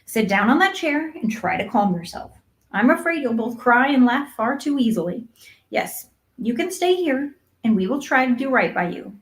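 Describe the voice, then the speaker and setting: Woman reader as stern female guardian, unsentimental, brisk, matter-of-fact, disapproving, moderately high pitch, storybook dialogue. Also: big pitch swings. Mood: disapproving, practical. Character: stern, practical, unsentimental woman raising an orphan. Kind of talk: acted audiobook dialogue.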